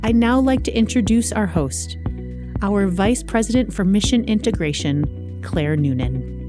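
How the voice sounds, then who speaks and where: A woman's voice: mid-range voice, lively intonation. Polished woman announcer over music. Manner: measured, polished delivery. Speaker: show announcer. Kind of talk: scripted introduction. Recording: intro with music bed.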